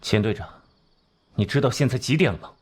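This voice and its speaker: An actor playing a cold, sharp-tongued forensic genius in an animated drama, disdainful and easily irritated. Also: male voice, mid-range voice, fast talker, background noise, lightly acted. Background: music.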